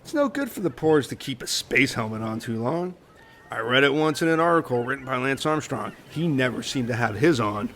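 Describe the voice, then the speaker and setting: A man's voice: medium pitch, expressive, fairly quick, a bit bright, a little hiss or hum. A man voicing a laid-back, dreamy, folksy spaceman castaway who muses absurdly in a comedy audio drama. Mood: easygoing.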